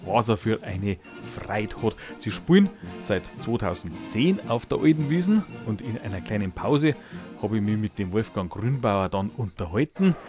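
A man with a Bavarian accent, a radio reporter narrating a feature and interviewing in a lively, folksy way. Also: fairly low pitch, moderate pace, over music.

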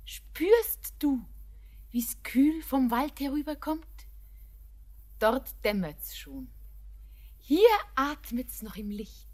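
A young actress playing a tender, happy bride-to-be in a classic radio play, speaking softly and lyrically. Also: female voice, high voice, big pitch swings, extremely slow, long pauses, full character voice.

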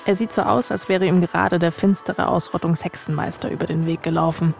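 Wry woman reading podcast narration, lots of vocal fry, bit of music behind her. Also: lowish voice, steady tone, fairly quick. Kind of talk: scripted narration. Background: music bed.

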